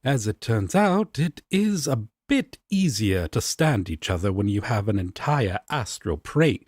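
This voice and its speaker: A man acts out a fussy, caring angel roommate in an audio roleplay. His husky voice rises and falls dramatically as he picks out his words slowly. The delivery is formal and a little disapproving, close and dry. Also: fairly low pitch, big pitch swings, dry recording, heavily acted. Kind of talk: fan audio roleplay acting.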